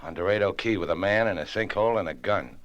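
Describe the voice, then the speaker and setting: Low, terse skipper, dull recording. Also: male voice, fast talker, fairly bright tone. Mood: curt. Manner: terse, dry, commanding. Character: terse tough boat skipper, laconic adventure hero. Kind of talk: old-time radio adventure acting. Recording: dull, band-limited old radio recording.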